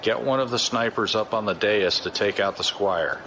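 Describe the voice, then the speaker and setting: Bullying corrupt cop boss, gruff, low voice, impatient, noisy urban backdrop, audio drama. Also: male voice, normal speed, bright, forceful tone. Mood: irritated, impatient. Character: gruff, corrupt, bullying male police chief. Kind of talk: science fiction audio drama acting.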